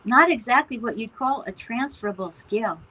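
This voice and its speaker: Casting: actress as exasperated, sardonic mother, fairly high and cutting voice, stage-play realism. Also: moderate pace, sharp tone, slight background noise, poor audio. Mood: sardonic, indignant. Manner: dry, sarcastic, exasperated. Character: frazzled, sardonic mother of a grown military son. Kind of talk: naturalistic stage-play dialogue.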